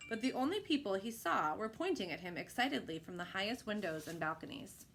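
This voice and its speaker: A woman reading a children's picture book aloud with expressive narration. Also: mid-range voice, a little fast.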